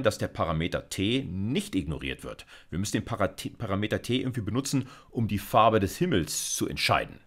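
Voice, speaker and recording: A man, a university lecturer thinking aloud informally as he explains live coding. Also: low-pitched. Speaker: university computer science lecturer. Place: indoors, seated at a computer.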